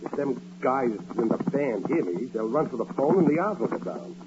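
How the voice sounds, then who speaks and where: An actor playing a brash, slangy, wisecracking racetrack tout in 1940s radio comedy. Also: male voice, medium pitch, sing-song, moderate pace, close to an everyday voice. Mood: sly, cocky. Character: brash, cocky racetrack tout, slangy fast-talking sidekick. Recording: noisy, dull vintage radio recording.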